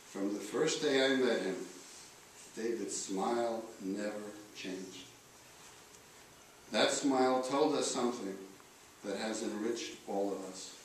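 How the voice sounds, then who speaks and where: A man reading a eulogy aloud in a solemn style, with measured, deliberate phrasing and pauses. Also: fairly low pitch. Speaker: eulogist reading letters at a memorial. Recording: echoey room, distant mic, faint noise.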